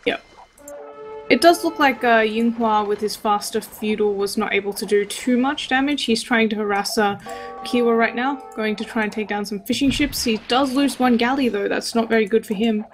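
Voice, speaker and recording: A woman's voice: a little high, moderate pace, soft background music. A woman giving live, conversational commentary on a video game match. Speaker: esports match caster.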